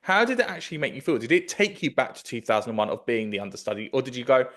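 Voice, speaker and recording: Casting: male podcast host, thirties, mid pitch, conversational interviewer. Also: expressive, very fast, a bit bright. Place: indoors in a kitchen, on a video call. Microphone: desk microphone with headphones.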